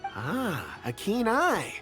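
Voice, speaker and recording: An actor playing a courteous, eloquent and eager young gentleman in a video game, speaking in polite, florid phrases. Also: sits high, wildly swooping pitch, drawn-out words, faint music behind, heavily acted. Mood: admiring, eloquent.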